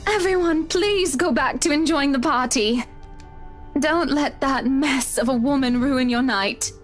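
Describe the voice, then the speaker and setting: A woman's voice: high-pitched, unhurried. A woman playing a rich young wife in a visual novel game, possessive, doting and imperious. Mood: haughty. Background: faint music.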